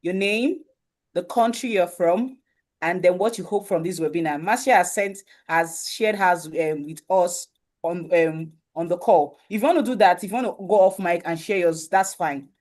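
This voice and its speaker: A woman's voice: lowish voice, moderate pace, darkish tone. A woman hosting an online webinar over a video call, welcoming attendees and often asking "you understand?". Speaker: webinar host.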